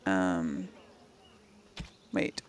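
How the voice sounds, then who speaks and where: The woman you would hear on a role-playing game channel, thinking aloud and reading to viewers in a creaky voice. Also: a bass voice, fairly even intonation, drawn-out words. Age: 30s or older. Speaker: game streamer, role-play series host.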